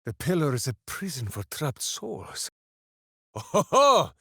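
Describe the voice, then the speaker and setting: A hearty berserker hero for a video game, simple-minded and boastful. He declaims slowly and theatrically, swinging widely in pitch. The sound is close and dry. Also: huge pitch swings, creaky, bright, forceful tone, breathy edge, dry recording, heavily acted. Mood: hearty, blustering. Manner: bombastic, theatrical, speaks of himself in third person. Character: hearty, simple-minded, boastful heroic berserker warrior devoted to his hamster. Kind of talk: video game character voice lines.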